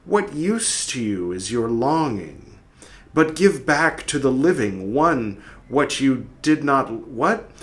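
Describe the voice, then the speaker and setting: A man reading aloud as a solemn disembodied soul, questioning the dead slowly and gravely. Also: mid-range voice, very animated. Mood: stern. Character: solemn disembodied soul, male, stern and probing.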